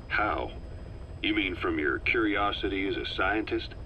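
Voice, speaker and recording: An actor playing a middle-aged, skeptical military interrogator who questions in a probing, matter-of-fact way for a video game. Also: male voice, low-pitched, expressive, takes it slowly, exaggerated voice. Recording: dull, muffled audio with faint noise.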